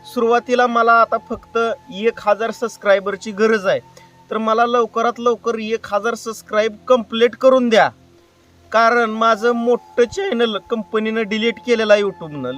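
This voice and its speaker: A man's voice: high voice, little pitch movement, a little slow, fairly bright tone, distant mic, soft background music. A man making an earnest, plain-spoken personal appeal to his viewers, repeating himself for emphasis. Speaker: YouTube creator and prank caller.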